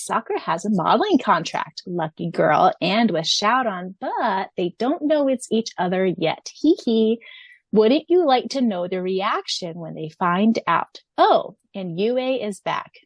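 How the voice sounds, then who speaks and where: A woman's voice: mid-range voice, no room sound. A woman co-hosting a fan podcast in casual chat, gushing and giggly in her enthusiasm. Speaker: podcast co-host.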